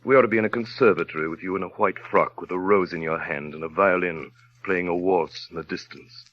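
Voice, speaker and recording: An actor playing a brusque, sardonic well-to-do gentleman in a 1930s radio drama, with diction and a clipped, imperious, teasing manner. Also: deep voice, a little fast, soft background music, natural voice. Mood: wry, tender. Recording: dull, lo-fi vintage radio recording.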